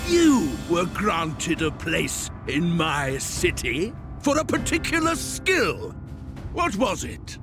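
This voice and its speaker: A man's voice: fairly high pitch, huge pitch swings, slow, full character voice. A man voicing a cruel, self-important lord who rules a city, pompous and theatrical in a fantasy game cutscene. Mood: haughty. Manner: pompous, theatrical, childishly raging. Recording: loud background music over the voice.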